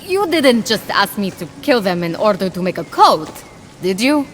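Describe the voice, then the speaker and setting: A woman's voice: medium pitch, very animated, moderate pace, in a large hall, slight background noise, lightly acted. A woman playing a young warrior heroine, dutiful, plain-spoken and slightly sceptical, in a video game. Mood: hesitant, wary.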